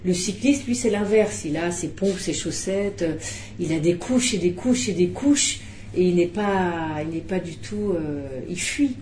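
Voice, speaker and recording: A middle-aged woman, a film director, talking at a press conference, animated and restarting often. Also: on the low side, unhurried, roomy sound, a little hiss or hum. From Belgium.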